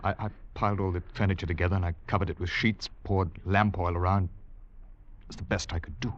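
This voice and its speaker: Man acting young english soldier, low halting understated voice, old radio drama, muffled and hissy. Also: steady tone, lightly acted. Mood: grieving, bitter. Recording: muffled noisy 1940s radio recording.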